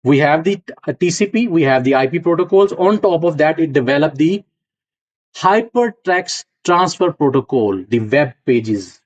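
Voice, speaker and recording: A man's voice: a little high, animated, normal speed. Punjabi-accented male lecturer, dull recording. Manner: explains step by step, checks in with students often. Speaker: university lecturer. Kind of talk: classroom lecture.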